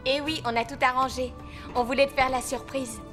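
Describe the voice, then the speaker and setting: A woman's voice: sits high, flat delivery, brisk, close to an everyday voice. A woman voicing a direct, confident teenage schoolgirl in a cartoon. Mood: assertive. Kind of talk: animated series dubbing. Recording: music behind.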